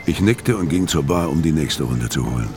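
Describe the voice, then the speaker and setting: Very low male voice, hard-bitten middle-aged killer, laconic noir radio play narration, brooding, loud music underneath. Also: lively intonation, a little fast. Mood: brooding, bitter. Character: world-weary dying male syndicate killer, hard and laconic. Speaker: first-person narrator and lead actor.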